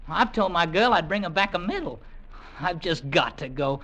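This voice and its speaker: A man's voice: on the high side, animated, moderate pace, sharp tone. An actor playing a cheeky, earnest young British army drummer boy in an old-time radio drama. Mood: eager, pleading. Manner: earnest, cocky, deferential to officers.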